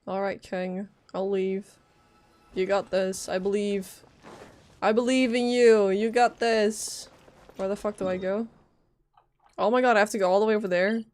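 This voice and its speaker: A woman's voice: mid-range voice, fairly even intonation, measured pace, pauses often, darkish tone. A woman livestreaming a game, casually commenting, joking to herself and reading dialogue aloud in a playful way. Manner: playful, joking, muttering to self.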